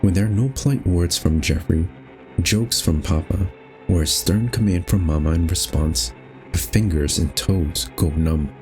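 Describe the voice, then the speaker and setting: A man narrating a visual novel aloud as host, in an expressive, measured storytelling style. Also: sits low, faint music behind.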